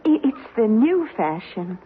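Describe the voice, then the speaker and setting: Uneasy secretary for a radio play: high, slow, hesitant and placating, over noisy tinny broadcast sound. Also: female voice, sing-song. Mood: uneasy politeness. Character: timid, uneasy secretary humoring a deluded man. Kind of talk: 1970s radio drama acting.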